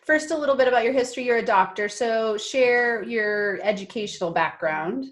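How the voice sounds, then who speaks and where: A woman's voice: fairly high pitch, moderate pace, fairly bright tone. A woman hosting an interview podcast, talking in a chatty, emphatic way. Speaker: podcast host and coach.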